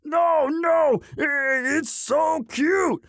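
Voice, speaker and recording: A man voicing a bashful, devoted himbo orc boyfriend in a romantic audio roleplay, halting and stammering sheepishly. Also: very high voice, vocal fry, fairly bright tone, no room sound, studio quality, heavily acted. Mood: tender, smitten.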